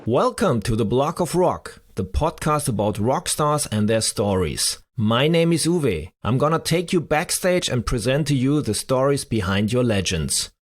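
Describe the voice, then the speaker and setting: A man presents his rock podcast in a scripted, enthusiastic way. His voice sits at an ordinary middle pitch, and his delivery stays upbeat and eager throughout. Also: lively intonation, measured pace, few pauses, a little hiss or hum. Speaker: podcast host.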